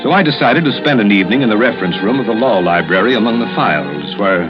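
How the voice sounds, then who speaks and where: An actor playing an anxious lawyer who narrates a murder mystery gravely and dramatically in an old-time radio suspense show. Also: male voice, fairly low pitch, expressive, fast talker, natural voice. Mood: urgent, worried. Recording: muffled vintage radio recording, loud music.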